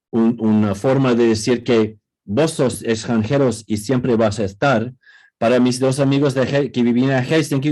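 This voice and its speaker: A man's voice: mid-range voice, warm tone. Hesitant man on a video call. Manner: hesitant, many restarts and fillers. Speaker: co-author speaking at a book presentation. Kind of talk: casual panel talk.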